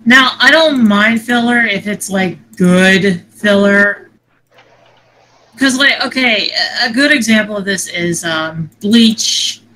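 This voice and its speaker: Female co-host, bright mid-range voice, opinionated and slangy, faint noise behind her. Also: mid-range voice, takes it slowly, rough recording. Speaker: podcast co-host. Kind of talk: casual chat. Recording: noticeable background noise.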